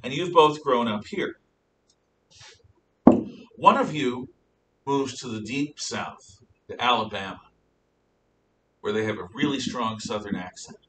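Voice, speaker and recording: Older male teacher, American accent, emphatic lecture delivery, roomy sound, faint background rumble. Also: animated, a little slow, long pauses. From northeastern United States, around New York City and New England. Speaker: history teacher. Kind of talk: classroom lecture. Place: classroom, indoors. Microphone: distant camera at back of room. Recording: roomy, distant microphone, noticeable hiss and rumble.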